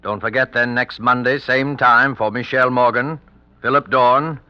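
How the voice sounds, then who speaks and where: A man's voice: mid-range voice, steady tone, normal speed, smooth, dry recording. A man announcing a 1940s radio drama in a formal, scripted style. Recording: dull 1940s radio recording. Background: music.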